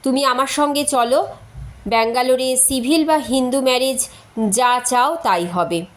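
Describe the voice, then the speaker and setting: A woman's voice: sits high, unhurried, rough recording. A woman narrator voicing a determined, insistent young man in a storybook. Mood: determined, urging. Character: determined young male lover urging elopement. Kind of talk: storybook reading.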